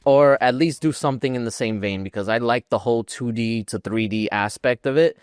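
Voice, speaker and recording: Man, podcast co-host, smooth clear voice, dry close sound, casual gaming chat, informal. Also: mid-range voice, fairly quick, dry recording. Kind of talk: casual chat about video games.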